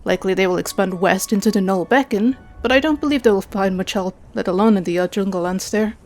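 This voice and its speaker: A woman's voice: medium pitch, fast talker, a little mellow, soft background music, natural voice. A woman playing a blunt, opinionated female first sergeant at a war council in a fantasy audiobook. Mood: matter-of-fact. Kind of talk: audiobook character acting.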